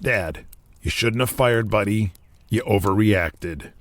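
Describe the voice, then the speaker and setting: A man's voice: fairly low pitch, slow pace, fairly bright tone. A man playing an earnest grown son pressing his father in a wrestling audio drama. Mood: insistent.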